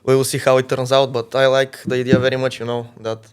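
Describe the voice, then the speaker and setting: A man's voice: mid-range voice, little pitch movement, fast talker, clean tone. A man in his twenties from Bulgaria, a podcast guest chatting casually and laughing often. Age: 20s. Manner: laid-back slangy talk, laughs often. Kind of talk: casual chat.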